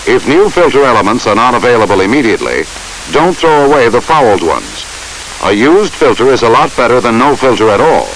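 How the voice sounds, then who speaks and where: A man narrates a training film in a formal, measured, instructional style. His pitch is medium and moves in a lively way. The soundtrack is old, with a faint hiss behind the voice. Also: low-quality recording.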